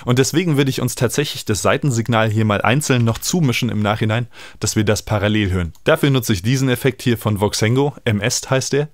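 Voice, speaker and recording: A man, explaining music software informally as he demonstrates it in a tutorial. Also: medium pitch, quick pace, dry recording. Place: small indoor home studio. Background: electronic music he is working on.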